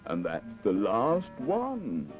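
An actor voicing a bossy, droll penguin sidekick in a 1960s children's puppet show. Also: male voice, fairly low pitch, wildly swooping pitch, slow, full character voice. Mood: bossy, lazy. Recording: muffled 1960s TV soundtrack with music.

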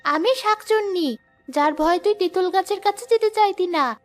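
A woman's voice: extremely high pitch, moderate pace, faint music behind, pitched above the performer's own voice. A woman voicing a wicked, bossy ghost in a girl's body for a children's cartoon, taunting as she speaks. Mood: cackling, triumphant.

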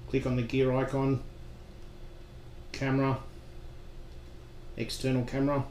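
A man with a mid-pitched, fairly monotone voice walks through setup steps as a trainer. His delivery is plain and instructional. The recording is echoey, like an untreated room. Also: a little slow. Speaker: software trainer. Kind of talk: instructional screen-recording narration. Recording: roomy echo with background noise.

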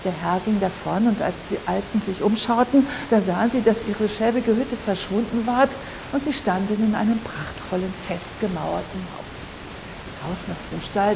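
A woman's voice: mid-range voice, vocal fry, noisy background. A woman storyteller narrating a fairy tale aloud in a dramatic oral style. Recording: echoey hall with long reverb.

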